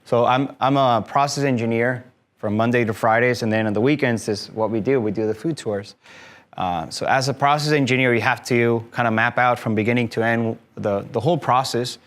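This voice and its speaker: A man's voice: mid-range voice, brisk. A man in his thirties from Mexico talks to a room full of listeners. His voice sits in a medium range. He explains things in a friendly way, with plenty of "um"s along the way. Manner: friendly, explanatory, frequent fillers. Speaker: guest speaker, tour company owner. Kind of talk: conversational talk to a live audience. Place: event room with an audience.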